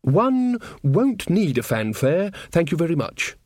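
A man's voice: a little high, big pitch swings, moderate pace, a little mellow, breathy edge, dry recording, studio quality, pitched above the performer's own voice. A man voicing a flustered young queen who puts on pompous regal airs in a storybook reading. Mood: haughty. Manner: pompous, speaks of herself as "one".

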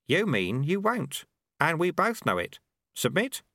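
A man's voice: fairly high pitch, huge pitch swings, measured pace, fairly bright tone, no room sound, studio quality, voice pushed up, exaggerated voice. A man voicing a wily old male martial arts master who teases and bosses his pupil. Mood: sly teasing. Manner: sly, teasing, bossy.

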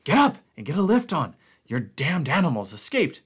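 A man voicing an angry, frightened expedition member who swears and snaps in a raised, gruff voice. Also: fairly high pitch, animated, normal speed, fairly bright tone, voice pushed up. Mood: alarmed.

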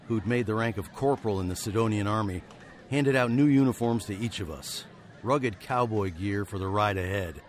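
An actor playing a haunted, weary frontier hero turned fugitive, narrating in wry, wordy literary phrases for radio drama. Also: male voice, lowish voice, close to an everyday voice. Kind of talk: scripted first-person radio drama narration.

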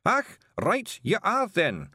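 A man's voice: high voice, wildly swooping pitch, slow, bright, forceful tone, no room sound, studio quality, voice pushed up, exaggerated voice. A man doing a blustering Scottish bearded stranger. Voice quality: gruff, blustering. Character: bossy bearded stranger, several little men.

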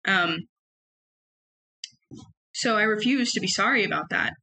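Loud, very bright young woman. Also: mid-range voice, measured pace, slight background noise, rough recording. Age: young adult. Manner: loud and emphatic, animated. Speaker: podcast host. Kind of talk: casual personal monologue.

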